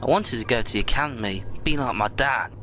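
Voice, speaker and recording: A man's voice: mid-range voice, brisk, pushed and bright, soft background music, lightly acted. A man playing a sullen, earnest sixteen-year-old runaway in a science fiction audio drama, speaking in short, guarded answers. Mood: wistful, hurt.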